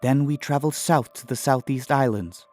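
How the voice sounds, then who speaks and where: A headstrong young princess, played by a male narrator, speaks in a fantasy audio story. The voice is pitched up and forceful, giving imperious, urgent commands. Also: normal speed, faint music behind, natural voice. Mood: imperious, firm.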